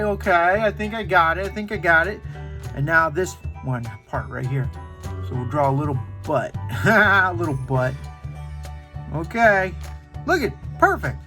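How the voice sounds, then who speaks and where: Kids' drawing host, male, unhurried and very animated, encouraging, faint music underneath. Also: high voice. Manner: playful, encouraging, talks to kids directly. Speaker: children's drawing tutorial host. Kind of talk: playful drawing lesson for kids.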